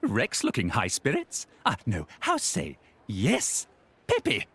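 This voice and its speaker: An actor voicing a little creature clerk who speaks in broken grammar, searching for words. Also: male voice, mid-range voice, huge pitch swings, takes it slowly, a bit bright, slightly rough, heavily acted. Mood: eager. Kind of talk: video game voice acting.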